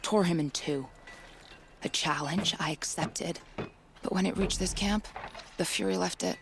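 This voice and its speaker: A woman's voice: fairly low pitch, unhurried, creaky, background noise. A woman playing a proud, blunt huntress in a video game, talking with scorn. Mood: scornful. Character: proud, scornful woman hunter craving challenge.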